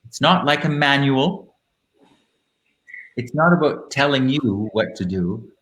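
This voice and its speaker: Male live-stream host, mid-range, camp and theatrical, deliberate phrasing, echoey room. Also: medium pitch, expressive, a bit bright. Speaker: host of a live online show. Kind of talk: casual live chat with dramatic book readings. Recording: roomy, echoing live-stream sound.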